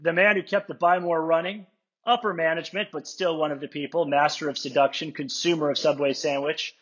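A man with a husky, slightly high voice hosts and introduces a cast from prepared notes. He adds wry, fond asides. The audio is a bit dull, as on a video call. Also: level tone, brisk, a bit bright.

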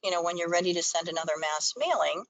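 A woman's voice: on the low side, fast talker, dry recording. A woman presenting a training webinar, explaining things step by step in an instructional screen-share style.

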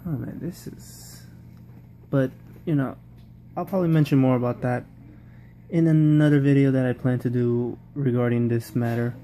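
A man's voice: mid-range voice, steady tone, moderate pace, dark tone, slight background noise. A man giving casual, rambling post-game football commentary, often repeating himself and restarting sentences. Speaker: football vlogger.

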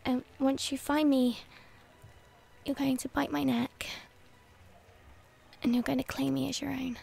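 Woman doing a shy werewolf girlfriend for asmr roleplay, high breathy voice, bit creaky, tender and halting. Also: flat delivery, a little mellow, full character voice. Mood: soft, expectant. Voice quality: breathy, often dropping to a whisper. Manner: hesitant, tender, halting delivery. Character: shy, devoted young female werewolf girlfriend.

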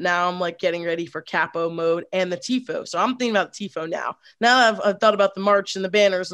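A young woman chatting on a video call with a headset, telling her story in an energetic, rambling way, full of "like". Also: lowish voice, no echo, studio quality. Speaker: supporters group organizer. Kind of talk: casual video call chat.

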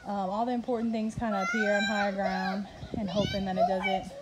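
A woman's voice: medium pitch, little pitch movement, fairly quick. A woman, chatting casually to a phone camera as she films around her rural homestead. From Louisiana. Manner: chatty, easygoing, talks to her kids and pets. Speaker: homesteader vlogger. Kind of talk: casual vlog narration. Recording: noisy outdoor phone audio.

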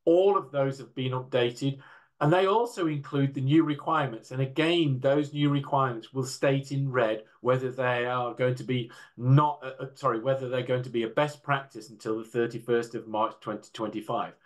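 A man's voice: medium pitch, steady tone, normal speed. Older man presenting in an online panel. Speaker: panel speaker from a standards council. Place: indoors, on a video call.

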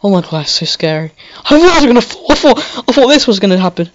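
Rambling, excitable woman gaming. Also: fairly high pitch, quick pace. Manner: rambling and excitable, with frequent swearing and giggling. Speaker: gaming video creator. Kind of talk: casual gameplay commentary. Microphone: home computer microphone. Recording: slightly dull lo-fi mic.

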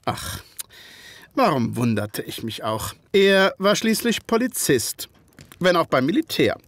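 A polite old-army doctor narrating a radio play, highish voice, really animated. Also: male voice, a little slow, dry recording, exaggerated voice. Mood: wry, reflective. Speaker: narrator and actor in an audio drama. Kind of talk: first-person scripted dramatic narration.

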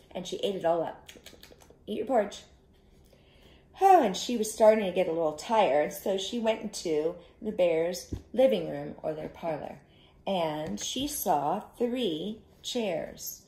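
A middle-aged woman telling an interactive story to young children, expressive and instructive, inviting them to join in, recorded in a living room. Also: fairly low pitch, gravelly, roomy sound. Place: small living room, indoors. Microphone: camera a short distance away.

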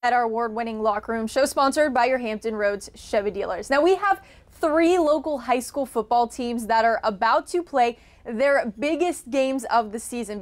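High-voiced young woman sports anchor. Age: young adult. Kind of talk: scripted TV sports narration. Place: TV studio.

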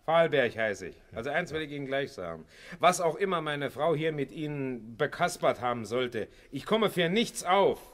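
An actor playing a stingy, domineering husband with a Berlin accent in a radio play, blustering and sarcastic. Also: male voice, a little high, lively intonation, normal speed, fairly bright tone. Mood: gruff, dismissive. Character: stingy, gruff husband refusing insurance, domineering. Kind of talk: 1960s radio play acting.

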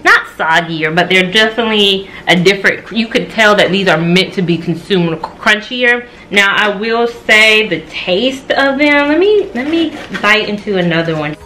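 An American woman in her thirties talks in a loose, slangy, conversational way, as if reviewing food for her viewers. Her voice sits at a middle pitch. It is recorded indoors at home, with some background noise. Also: expressive, normal speed, few pauses, bright, forceful tone. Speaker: food vlogger. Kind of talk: casual chat to camera, food review. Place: home dining area and kitchen, indoors.

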